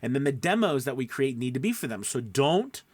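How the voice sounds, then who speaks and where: A man's voice: mid-range voice, big pitch swings, a little fast, a little mellow, no echo. A man giving informal instruction as a coach, stressing key points by repeating them and often checking in with the listener. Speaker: voice-over coach. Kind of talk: informal instructional talk, tips for aspiring voice actors.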